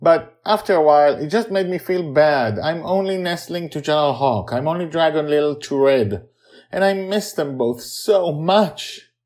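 An earnest, stubborn eight-year-old girl from an audio storybook, read out by a man. The pitch moves in big, animated swings as she speaks her mind plainly. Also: normal speed, lightly acted. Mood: sad, earnest.

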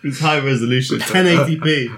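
A man's voice: on the high side, a little fast, a bit bright, slight background noise. A man in a comedic casual chat, playing along with a straight face and many hesitations.

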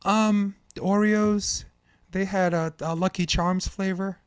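A man's voice: sits high, steady tone, slow pace, clean tone, no echo, studio quality. An American man in his fifties hosting a podcast in casual chat, with a slangy, joking way of rambling into advice. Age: 50s. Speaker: podcast host.

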